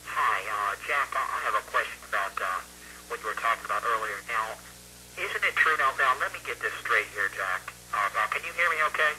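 A man's voice: medium pitch, animated, a little fast, pushed and bright. A man phoning a live TV show, speaking in a hesitant, stumbling, repetitive way. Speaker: phone-in caller. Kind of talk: prank call to live TV. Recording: tinny, noisy phone line with hum.

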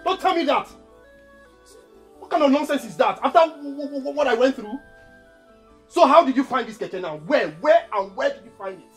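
An actress with a Nigerian accent playing a wronged, hot-tempered woman in a screen drama, loud and confrontational. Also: sits high, lively intonation, moderate pace, pauses often, faint music behind. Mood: indignant, hurt.

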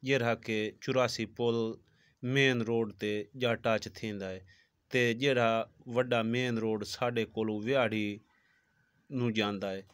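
A man's voice: medium pitch, clear, resonant tone, no room sound. A man in his thirties from Punjab, Pakistan, narrating a village vlog to his viewers on a phone held at arm's length. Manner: talks to viewers, repeats "viewers" often. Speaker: village vlogger. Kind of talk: casual travel vlog narration. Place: outdoors on village roads, then a shoe shop.

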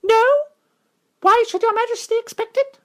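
A reader voicing a brave, proud talking mouse knight who speaks in a solemn, high-flown, resolute way. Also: moderate pace, a bit bright.

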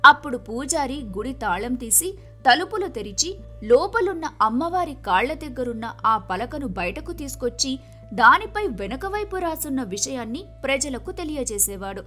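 A woman storyteller with a high, bright voice delivers scripted moral-tale narration. Faint music runs behind her. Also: sing-song, normal speed.

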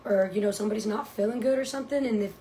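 Fast-talking woman in her twenties. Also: mid-range voice, warm tone, slight background noise. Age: 20s. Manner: fast, rambling, leans on "you know". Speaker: stable staff member. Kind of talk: casual chat.

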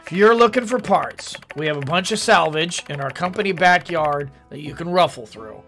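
A man voicing a gruff, burly male mining boss with a husky, gravelly voice. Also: a little high, expressive, normal speed, fairly bright tone, soft background music.

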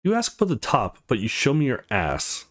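A man's voice: mid-range voice, wildly swooping pitch, brisk. A man voicing a dry, brooding young man, the protagonist of a visual novel, in a curt, matter-of-fact manner. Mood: dismissive.

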